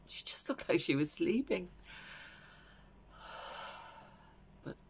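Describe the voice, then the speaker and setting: Woman acting a halting, creaky-voiced Irish mother. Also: very deep voice, animated, a little hiss or hum, exaggerated voice. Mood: hushed grief. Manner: halting, confiding, understated. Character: grieving working mother haunted by her loss. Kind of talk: dramatic stage monologue, naturalistic.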